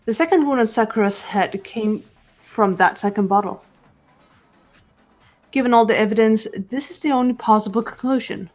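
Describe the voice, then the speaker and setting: A woman voicing a calm, cool-headed young female detective who lays out her reasoning in a fan dub of a game. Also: fairly high pitch, faint music behind, lightly acted. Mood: accusing. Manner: measured, explanatory.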